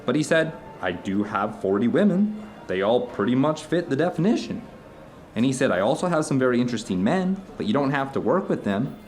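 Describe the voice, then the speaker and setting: A man's voice: mid-range voice, very animated, brisk, a little mellow, natural voice. A man playing an earnest theater director telling stories to an old friend in a conversational audio drama. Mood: amused storytelling. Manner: storytelling, earnest, discursive. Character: animated theater director recounting his travels to an old friend.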